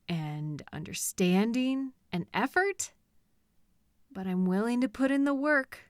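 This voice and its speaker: A woman playing an obsessive, possessive kidnapper in an intimate roleplay audio drama, coaxing and patient with a condescending edge and hesitant pauses. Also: mid-range voice, a bit bright, no echo, clean studio sound. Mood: soothing, coaxing. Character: obsessive, possessive female kidnapper, soothing and delusional.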